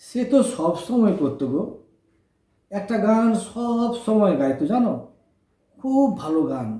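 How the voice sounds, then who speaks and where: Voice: mid-range voice, sing-song, a little slow, mellow. A cocky, good-hearted young street lad with a Kolkata accent , as acted in a radio play. The delivery is slangy and breezy, and the voice rings with room echo. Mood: wistful, wry. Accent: Kolkata Bengali. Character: brash, warm-hearted orphaned Kolkata street lad of twenty-four. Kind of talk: colloquial audio drama acting.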